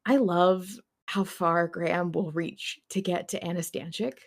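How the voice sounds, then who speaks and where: A woman's voice: lowish voice, fairly even intonation, a little slow. A woman hosting a podcast in a casual, conversational fan discussion. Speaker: podcast host.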